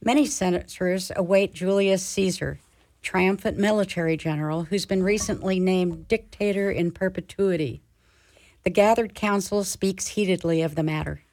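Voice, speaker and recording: A woman's voice: fairly low pitch, fairly even intonation, moderate pace, darkish tone, lightly acted. A woman narrating a scripted radio drama in a formal storytelling manner.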